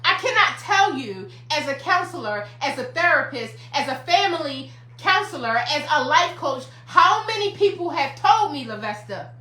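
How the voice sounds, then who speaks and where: A woman in her fifties gives a motivational livestream talk as a life coach, hammering her points home with repetition. Her voice is high and bright. The room sounds echoey. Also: very animated, moderate pace, hardly any pauses. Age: 50s. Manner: emphatic, repetitive, preacherly.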